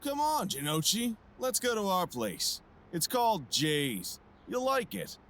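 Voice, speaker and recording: A man's voice: high-pitched, big pitch swings, measured pace, noisy background. A man playing a smug, menacing teenage gang leader in an audio drama, taunting with false friendliness. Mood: smug, mock-friendly.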